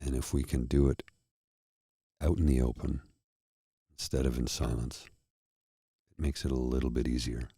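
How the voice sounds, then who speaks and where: A tired man with a husky, slightly froggy throat, hosting his own show and talking earnestly and slowly to his viewers. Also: extremely low pitch, level tone, mellow, no echo, clean studio sound. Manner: slow, earnest, heartfelt. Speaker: host. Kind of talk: candid personal talk to viewers.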